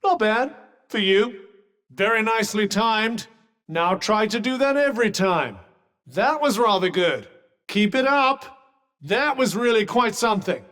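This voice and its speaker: An actor voicing a snooty, sardonic talking magical cuff in a video game, with a refined British accent and a dry, pompous manner. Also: male voice, high-pitched, lively intonation, a little slow, a bit bright. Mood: dry sarcasm, then approving. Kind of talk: game companion combat barks. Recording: long hall-like reverb.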